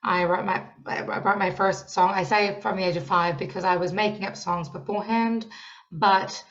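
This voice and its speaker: A young woman with a New Zealand accent chats as a podcast guest, loud and lively, quick to laugh. Her voice sits at a middling pitch. It comes through a slightly dull, lo-fi remote line. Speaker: podcast guest, songwriting coach. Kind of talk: casual interview chat.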